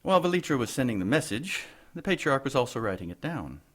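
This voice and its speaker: A man playing an older, formal and deferential corrupt bishop in an audio drama, with measured diction. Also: mid-range voice, very animated. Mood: grave, measured.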